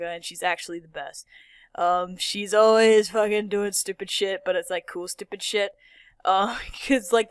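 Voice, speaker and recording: A woman giving a casual, rambling video commentary on a cartoon, swearing constantly and animated as she talks. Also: medium pitch, clear, resonant tone, dry recording, studio quality. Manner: profane, animated, keeps slipping into impressions. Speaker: YouTuber reviewing a childhood cartoon.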